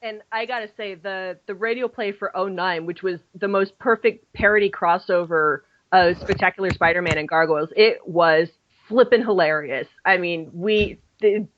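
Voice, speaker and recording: A woman's voice: fairly low pitch, normal speed, dull audio, slight background noise. A woman hosting a casual fan podcast, chatty and enthusiastic, often restarting her sentences. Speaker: podcast host.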